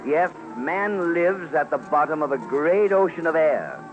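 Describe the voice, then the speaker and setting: A man's voice: fairly high pitch, big pitch swings, unhurried, lo-fi sound. Male documentary commentator: theatrical, formal, widely swooping delivery, strong music bed. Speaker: commentator, narrator. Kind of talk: dramatic scripted radio documentary narration.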